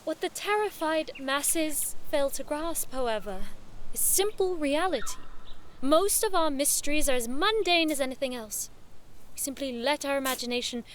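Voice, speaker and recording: A woman acting a high-voiced, haughty young noblewoman. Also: lively intonation, moderate pace, a little hiss or hum. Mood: lecturing. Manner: theatrical, eloquent, teasing. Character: haughty, playful young noblewoman, bookish skeptic. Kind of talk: audio drama acting.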